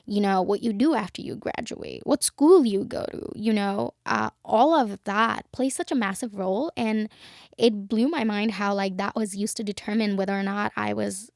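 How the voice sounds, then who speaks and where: Young woman from bangladesh, gravelly voice, dry close mic, reflective casual chat. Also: mid-range voice, moderate pace, a little mellow, no echo. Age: young adult. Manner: reflective, many fillers like "you know". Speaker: podcast co-host.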